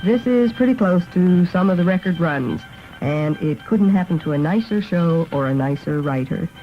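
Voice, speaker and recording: A man's voice: high voice, lively intonation, moderate pace, faint music behind. A man giving a chatty, upbeat showbiz report on 1950s radio. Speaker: entertainment correspondent. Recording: muffled old AM radio broadcast.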